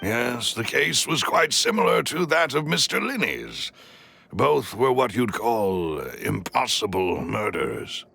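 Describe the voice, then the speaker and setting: A man's voice: mid-range voice, sing-song, takes it slowly, creaky, pushed and bright, husky, full character voice. An actor playing a courteous, composed and loyal male retainer in a video game, speaking in a polite, formal, deferential manner. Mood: grave. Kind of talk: video game cutscene acting.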